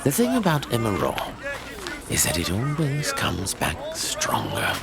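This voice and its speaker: A man playing a measured, knowing storyteller of dark fantasy lore, speaking with deliberate dramatic emphasis. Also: mid-range voice, huge pitch swings, gravelly, music in the background, exaggerated voice. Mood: easygoing.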